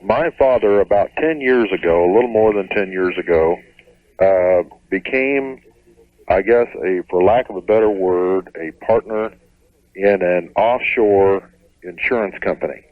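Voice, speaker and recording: Male radio caller. Lowish voice, halting careful explanation, narrow tinny telephone sound, no room echo. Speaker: caller to radio advice show. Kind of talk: hesitant, careful explanation over the phone.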